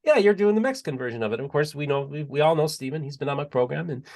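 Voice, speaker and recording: Excitable, slightly high-voiced male host. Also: very fast. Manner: enthusiastic, repeats words and restarts often. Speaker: podcast host. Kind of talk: casual interview chat.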